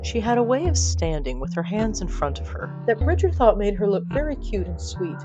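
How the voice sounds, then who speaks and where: A woman's voice: medium pitch, big pitch swings, brisk, dull audio, music in the background. A woman narrating a scripted comic fantasy story in a theatrical, wry way, with asides to her audience. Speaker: storyteller of a fiction podcast.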